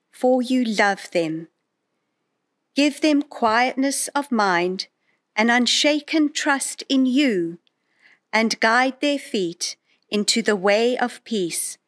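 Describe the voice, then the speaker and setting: Prayer leader: middle-aged woman, slightly high voice, slow and solemn scripture reading at a lectern. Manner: measured, solemn phrasing. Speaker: prayer leader in church service. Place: indoors in a chapel, at a lectern. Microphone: gooseneck lectern microphone.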